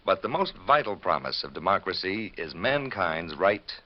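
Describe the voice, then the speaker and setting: Low-voiced solemn male radio announcer. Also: very animated, moderate pace, a little hiss or hum. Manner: solemn, declamatory. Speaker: announcer of a public service message. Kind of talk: 1950s radio announcement, scripted. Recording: muffled vintage radio recording.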